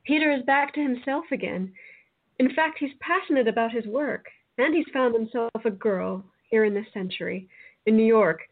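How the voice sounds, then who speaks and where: A woman's voice: on the high side, moderate pace. A woman playing an earnest, frank and kindly young friend in a radio play. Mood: grateful. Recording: tinny phone-like audio.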